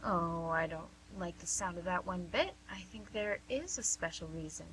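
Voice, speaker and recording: Woman acting a cheerful nosy nurse in a radio drama, bright voice, sorta fumbling, bit of hiss and hum on it. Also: on the low side, animated, normal speed. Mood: probing. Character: cheerful, probing, slightly fumbling woman nurse named Angie. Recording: noisy amateur recording with faint hum.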